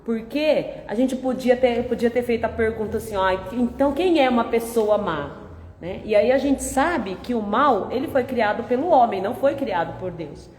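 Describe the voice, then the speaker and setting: A Brazilian woman giving a gentle, conversational spiritist talk with a nasal, congested voice from a cold. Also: on the high side, a little hiss or hum. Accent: Brazilian Portuguese. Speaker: spiritist lecturer. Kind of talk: public talk with opening prayer. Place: large hall of a spiritist center. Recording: echoey hall with long reverb.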